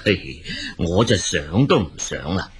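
An actor playing a weary, exasperated elderly father in a radio drama, speaking Hong Kong Cantonese with a husky voice and sighing, drawn-out complaints. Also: low voice, very animated. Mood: resigned. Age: older.

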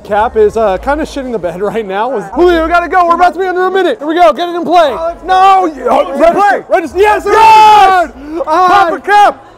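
A young man in his twenties giving excited running commentary at night, filming himself on an action camera at arm's length, with loud outbursts. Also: extremely high pitch, fairly quick. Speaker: vlogger. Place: outdoor stadium golf bays at night, rain. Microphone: action camera held at arm's length. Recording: noisy outdoor crowd and music. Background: crowd chatter and music.

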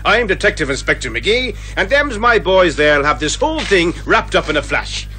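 An actor playing a jovial, boastful, garrulous Irish police inspector in a radio detective comedy. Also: male voice, high voice, moderate pace, slight background noise, full character voice. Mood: breezy, boastful. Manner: garrulous, excitable.

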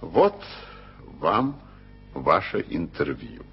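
An actor playing a powerful statesman prince who speaks in a measured, ironic and commanding way in an old radio play. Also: sits low, very animated, creaky, soft background music, heavily acted. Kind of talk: 1980s radio play acting. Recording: hissy, dull old radio recording.

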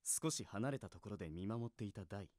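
A man's voice: fairly low pitch, expressive, quick pace, darkish tone, dry recording, studio quality. A man reading scripted narration for an anime audio drama.

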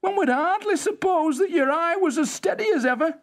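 A man voicing a cheeky, pestering young man in comic storybook verse, with exaggerated, drawn-out delivery. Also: very high voice, expressive. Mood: incredulous, mocking.

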